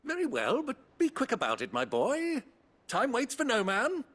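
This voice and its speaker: A man's voice: very high-pitched, huge pitch swings, normal speed, fairly bright tone, heavily acted. An actor playing an older, avuncular nobleman in a fantasy game, with a flowery, theatrical and slightly pompous manner. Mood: jaunty, grand. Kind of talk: scripted fantasy game dialogue.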